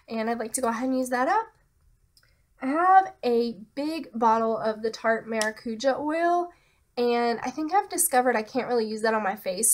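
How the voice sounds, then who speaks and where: A young woman with a somewhat high voice talks through her beauty products in a loose, casual way, trailing off into asides and fillers. Her nose sounds a little stuffy. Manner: relaxed, hesitant, many fillers and trailing asides. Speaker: beauty vlogger. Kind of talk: casual product talk to camera.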